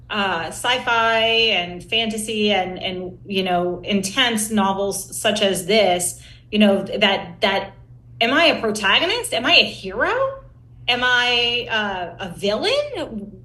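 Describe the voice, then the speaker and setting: A woman's voice: on the high side, sharp tone. A woman hosting a podcast interview in a conversational, emphatic and engaged way. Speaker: podcast host. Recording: roomy, echoey sound.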